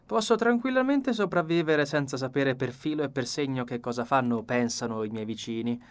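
A man's voice: mid-range voice, animated, fairly quick, close to an everyday voice. A man voicing a dry, reluctant middle-aged village doctor in an audiobook, curt and starchy. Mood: icy, irritated. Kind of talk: audiobook character dialogue.

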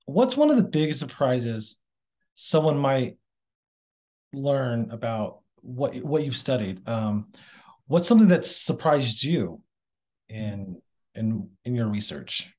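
A man's voice: mid-range voice, takes it slowly. A young man interviews a guest over a webcam from his home office. His voice rises and falls a lot as he asks eager, curious questions. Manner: lively, inquisitive questioning. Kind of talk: video call interview. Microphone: video call webcam.

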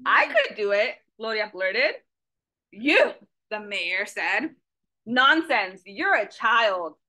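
A young woman reading a picture book aloud to children on a video call, with a lively questioning manner. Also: medium pitch, takes it slowly, low-quality recording. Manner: animated, asks children questions, switches between English and Spanish. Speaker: storytime reader. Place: small office with a Mexico flag poster. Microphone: video call webcam.

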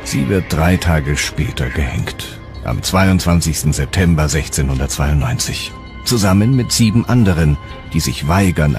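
Deep-voiced male documentary narrator. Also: a little mellow. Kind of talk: scripted dramatic narration. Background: music.

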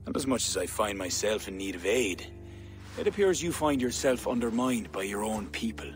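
Proud played by a man: low voice, regal, measured, game cutscene over prominent music. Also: expressive, lightly acted. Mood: stern, guarded. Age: middle-aged. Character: proud, stately, shrewd Irish High King. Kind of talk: video game cutscene acting.

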